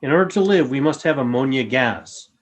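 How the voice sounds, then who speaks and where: A man playing a calm, grave alien creature in a radio play, with a formal, measured way of speaking. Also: medium pitch, natural voice. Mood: calm, guarded. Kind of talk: fan radio play acting.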